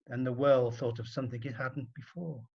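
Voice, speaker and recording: A man narrating a rhyming story in an expressive, dramatic storybook style with deliberate phrasing. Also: mid-range voice, vocal fry, husky, muffled recording.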